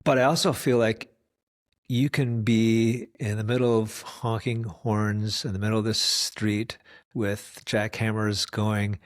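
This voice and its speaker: A man's voice: fairly low pitch, little pitch movement, slow, no room sound. A man from the United States hosting an interview. He talks thoughtfully and muses at length with rambling asides. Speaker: host. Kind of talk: conversational interview. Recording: dry close recording.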